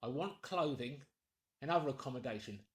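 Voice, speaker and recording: A man's voice: mid-range voice, animated, normal speed, natural voice. A man voicing a menacing invisible intruder in an audiobook, giving curt, formally worded orders. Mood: coolly commanding. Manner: threatening, curt, formally articulate.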